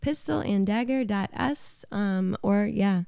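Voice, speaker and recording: A woman's voice: mid-range voice, slow pace, vocal fry, dark tone, clean tone, no echo, clean studio sound. A young adult woman chatting casually and wryly as a musician guest on a podcast. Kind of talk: casual chat.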